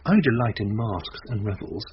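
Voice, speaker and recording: An actor playing a gullible, vain, dim-witted gentleman knight who is earnest and eager to please, in radio Shakespeare. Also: fairly low pitch, moderate pace, lightly acted. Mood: plaintive, vain. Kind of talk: BBC radio Shakespeare comedy acting. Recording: dull, noisy, clicky radio broadcast copy.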